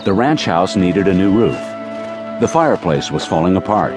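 Casting: male narrator, dramatized Western audiobook, deep pitch, prominent music bed. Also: very animated, moderate pace.

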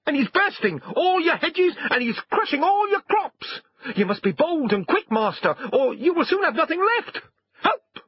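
A man's voice: extremely high pitch, sing-song, normal speed, highs cut off, pitched above the performer's own voice. A man voicing a frightened, pleading farm dog in frantic exclamatory cries, for a storybook reading. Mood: frantic alarm.

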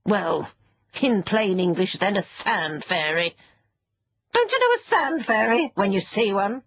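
A woman's voice: medium pitch, very animated, a little slow, slightly rough, dry recording, muffled recording, full character voice. A woman voicing an ancient, furry sand fairy from a children's storybook, crotchety, haughty and scornful. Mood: crossly indignant. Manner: scornful, peevish, self-important.

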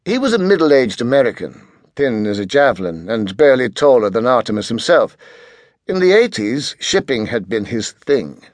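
A male narrator reads fiction with very lively, swooping intonation. His voice is mid-pitched and the recording is dry and close, in expressive audiobook style. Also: normal speed, no echo.

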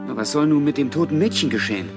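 Eager, higher-voiced detective sidekick. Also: male voice, animated, quick pace, over music. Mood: alert, questioning. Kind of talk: German audio drama acting.

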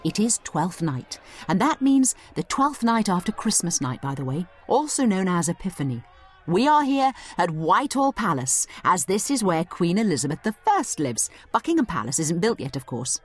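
A woman's voice: on the low side, big pitch swings, normal speed, breathy edge. A woman narrating a scripted, dramatised study guide like a tour guide, theatrical and explanatory, slipping in asides to the listener.

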